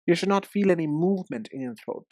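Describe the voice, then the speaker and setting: A woman giving an instructional pronunciation lesson, speaking deliberately and enunciating with emphasis and pauses. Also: lowish voice, expressive, darkish tone, no room sound, studio quality. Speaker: English pronunciation teacher. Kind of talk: instructional lesson, demonstrating sounds.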